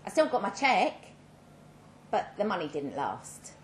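A woman reading a first-person short story dramatically, measured and with deliberate pauses. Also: mid-range voice, big pitch swings. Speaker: story reader. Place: live reading event in an echoing room. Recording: roomy live venue with faint background noise.

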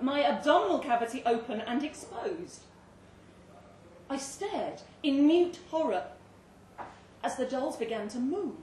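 High-pitched, animated woman reading a story. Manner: expressive, theatrical, suspenseful delivery. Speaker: story reader. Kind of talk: dramatic live short-story reading. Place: indoor live literary event venue. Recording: roomy live venue, distant mic, faint noise.

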